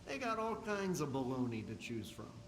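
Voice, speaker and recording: A man's voice: mid-range voice, sing-song, normal speed, echoey room, crowd noise. An actor playing a wry, chatty male technician in a post-apocalyptic video game. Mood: wry, welcoming.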